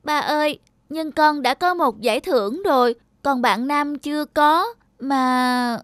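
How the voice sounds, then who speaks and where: A woman voicing a kind-hearted young schoolboy in a cartoon, speaking gently and earnestly. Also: high voice, a little slow. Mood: gentle, wistful. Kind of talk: animated cartoon dub.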